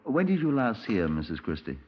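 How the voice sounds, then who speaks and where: A methodical British police detective in an old radio drama. His voice is low, dry and formal, measured in delivery. The recording is dull 1950s radio. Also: huge pitch swings, a little hiss or hum. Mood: probing, businesslike. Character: male police sergeant detective narrating his case, methodical. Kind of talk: 1950s radio crime drama, narration and dialogue.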